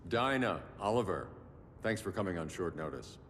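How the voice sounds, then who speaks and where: An actor playing a grim, guarded billionaire vigilante in a video game, terse and commanding. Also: male voice, sits low, lively intonation, moderate pace, slight background noise. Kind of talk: video game cutscene acting. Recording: long reverb, loud music behind.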